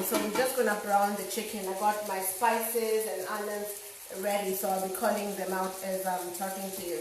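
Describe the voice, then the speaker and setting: A middle-aged woman with a hoarse, slightly nasal voice, chatting loudly with many fillers while cooking at home. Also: mid-range voice, distant mic, noisy background. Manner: loud, close to shouting, many fillers. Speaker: home cook vlogger. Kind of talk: casual cooking vlog chat. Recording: very echoey kitchen, voice off-mic.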